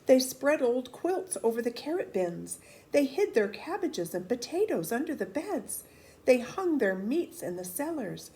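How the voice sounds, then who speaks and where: Woman storyteller, expressive children's read-aloud, lively swooping pitch, faint background noise. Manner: animated, deliberate storytelling for children.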